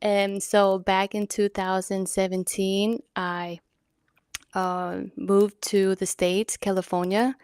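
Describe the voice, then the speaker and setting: A woman from Denmark in her thirties tells her story as a guest in an even, flat tone. She speaks earnestly, filling pauses with uhs. The sound is dry and close, as if over a video call. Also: mid-range voice, clear, resonant tone, no echo. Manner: earnest, quick laughs, many uhs. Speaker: podcast co-host guest. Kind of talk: interview storytelling.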